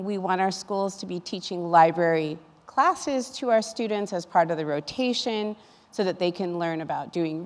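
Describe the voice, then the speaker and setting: A woman's voice: medium pitch, darkish tone. Woman educator in a reverberant hall. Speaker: educator on a panel. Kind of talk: panel discussion, explanatory talk.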